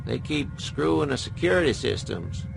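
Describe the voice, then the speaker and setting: An actor playing a wry, crusty veteran hacker in a radio drama, folksy and sardonic. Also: male voice, on the high side, sing-song, moderate pace, creaky, music in the background, exaggerated voice, low-quality recording. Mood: wry, matter-of-fact. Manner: folksy drawled phrasing, sardonic.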